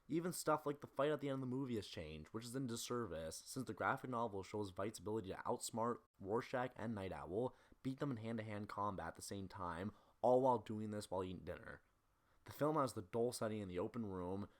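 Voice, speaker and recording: A man narrating a scripted analytical video essay as the presenter. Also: on the low side.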